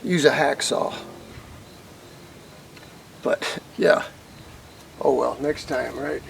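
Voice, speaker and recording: An American man giving a casual, rambling hands-on demonstration to camera, informal and thinking out loud as he goes. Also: mid-range voice, extremely slow, creaky, slight background noise, low-quality recording. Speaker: hobbyist vlogger demonstrating CB radio gear.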